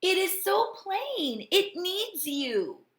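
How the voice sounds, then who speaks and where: Woman voicing an earnest high-pitched little hermit crab. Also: extremely slow, full character voice. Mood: admiring, polite. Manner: expressive, emphatic storybook delivery. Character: gentle, polite, earnest hermit crab, admiring and anxious. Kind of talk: children's storybook read-aloud. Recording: echoey room.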